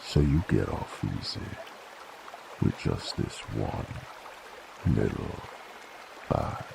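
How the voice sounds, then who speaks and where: A man playing a gruff, teasing werewolf bounty hunter in a Western audio roleplay, with a gravelly American Western drawl and a folksy, coarse manner. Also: a bass voice, animated, slow pace, noisy background, full character voice. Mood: menacing, hungry.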